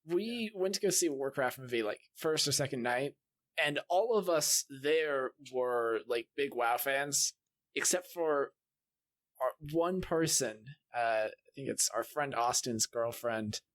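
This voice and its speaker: A man's voice: medium pitch, lively intonation, a little slow, a bit bright. A man co-hosting a podcast, casually chatting and telling anecdotes about games. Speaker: podcast co-host.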